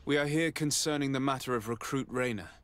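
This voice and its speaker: An actor playing a poised, commanding noble diplomat in a video game, speaking formally and politely. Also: male voice, medium pitch, a little fast, fairly bright tone, clear, resonant tone, close to an everyday voice. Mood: formal, inquiring. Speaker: noble envoy. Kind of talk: video game dialogue acting.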